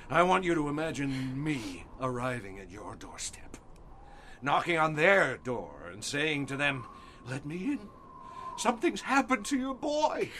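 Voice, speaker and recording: An actor playing an enraged, menacing old man in dramatic audio fiction, gravelly and theatrical, with deliberate delivery. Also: fairly high pitch, bright, forceful tone, full character voice. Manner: theatrical, deliberate, swinging from hiss to roar.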